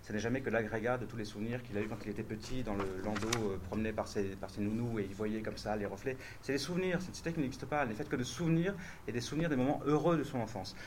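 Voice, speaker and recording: Brief: male translator on a festival panel, quick and halting, thinking aloud, faint noise behind. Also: medium pitch. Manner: hesitant, restarts often, thinks aloud. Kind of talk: festival panel answering questions.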